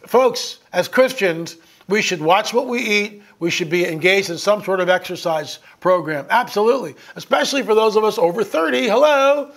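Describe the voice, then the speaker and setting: A man in his sixties with a notably high-pitched voice gives informal, sermon-like commentary. He talks to listeners in a folksy, conversational way, pressing his points with emphasis and rhetorical questions. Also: normal speed, creaky, a bit bright. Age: 60s.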